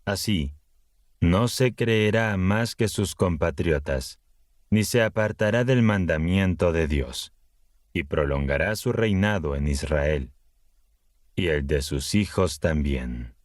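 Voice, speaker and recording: A man reading scripture aloud in a solemn, measured way, as narrator of a Bible audio series. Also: low voice, animated, no room sound, clean studio sound.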